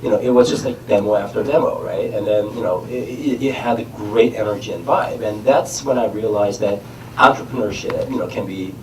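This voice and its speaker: A middle-aged man with a Korean accent, his voice on the low side, talks haltingly and leans on fillers through a head cold. He sounds far from the mic in an echoey room. A faint hiss sits behind him. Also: a little mellow. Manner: halting, many "you know" fillers. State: has a cold, tongue numbed by lozenge. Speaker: startup founder. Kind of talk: casual onstage chat. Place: conference stage in a large room.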